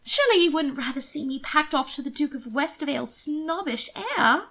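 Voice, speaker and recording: A woman's voice: sits high, lively intonation, moderate pace, fairly bright tone, voice pushed up. A woman voicing a pampered, manipulative young noblewoman in an audio story, with a sweet, cajoling, emphatic delivery. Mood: pleading. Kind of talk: expressive audiobook character reading.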